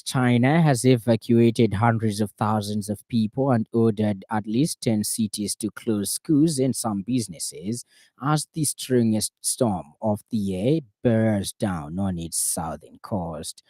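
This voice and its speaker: A man's voice: lowish voice, takes it slowly, warm tone, no echo. A man with a Zambian accent reading a scripted news bulletin on the radio from a studio.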